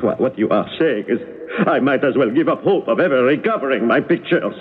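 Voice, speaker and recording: An actor playing an agitated, determined wealthy count in an old radio drama. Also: male voice, mid-range voice, animated, fairly quick, natural voice. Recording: lo-fi 1970s radio broadcast, faint noise.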